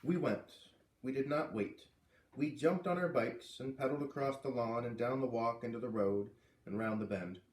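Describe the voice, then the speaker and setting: A man reading a story aloud with expressive narration and casual asides. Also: mid-range voice, moderate pace. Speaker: storybook reader. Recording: echoey room.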